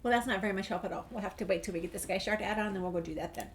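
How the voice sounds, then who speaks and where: A woman's voice: mid-range voice, racing. A woman hosting a gameplay video, thinking aloud in casual, rambling commentary. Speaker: gaming video host.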